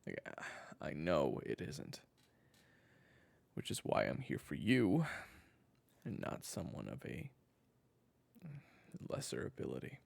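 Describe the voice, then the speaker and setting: Guy doing a tired gritty hitman, raspy and low, swings around a lot, sardonic, audio drama. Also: huge pitch swings, darkish tone, dry recording, exaggerated voice. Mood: anxious, resolute. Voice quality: gravelly, raspy. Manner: halting, sardonic, swears. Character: weary gravel-voiced male assassin desperate to protect his family. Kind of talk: audio roleplay drama acting.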